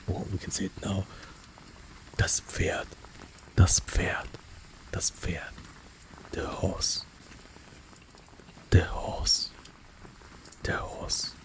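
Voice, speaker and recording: A man teaching vocabulary in a relaxed lesson, often whispering and slowly repeating each word. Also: low voice, slight background noise, rough recording. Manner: soft-spoken, often whispers words, repeats them slowly. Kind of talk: relaxed spoken language lesson with whispered repetitions. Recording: faint rain in the background.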